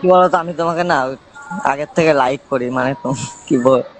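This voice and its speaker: A man's voice: fairly high pitch, brisk. A man chatting informally on a phone call, often checking he is understood. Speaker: phone caller. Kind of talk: casual phone conversation. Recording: faintly noisy phone call recording.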